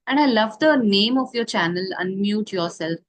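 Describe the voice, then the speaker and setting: A woman with a medium-pitched voice holds a casual interview. She speaks fluent English in an encouraging, conversational manner. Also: lively intonation, moderate pace.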